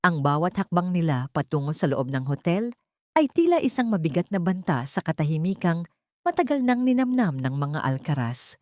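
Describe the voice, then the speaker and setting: A woman narrating a scripted romance audio drama in a measured, solemn, dramatic way. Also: lowish voice, big pitch swings, mellow, no room sound. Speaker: story narrator.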